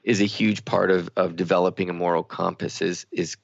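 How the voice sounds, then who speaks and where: Low, gravelly male radio co-host. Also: little pitch movement, brisk, no echo. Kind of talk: reflective conversational radio talk. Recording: slightly muffled, lo-fi radio audio.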